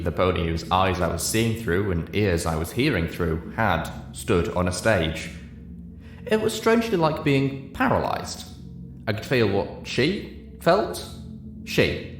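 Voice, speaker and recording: A man reading a first-person story aloud as scripted narration. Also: fairly low pitch. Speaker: reader of fan fiction show.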